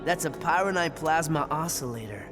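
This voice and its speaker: A man's voice: fairly high pitch, expressive, moderate pace, lightly acted. An actor playing a cocky, grumpy teenage boy in a video game, blunt and sarcastic about everything. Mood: sarcastic, suspicious. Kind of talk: scripted video game cutscene acting. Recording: loud music behind the dialogue.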